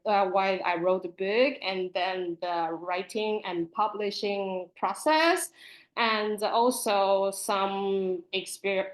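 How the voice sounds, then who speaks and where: A woman in her forties speaks earnestly over a video call, presenting her experience as a librarian and author. Her voice sits at an ordinary middle pitch, and she breaks up her phrases with small, self-conscious laughs. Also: poor audio. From Taiwan. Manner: soft nervous laughs between phrases, earnest and candid. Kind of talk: webinar presentation.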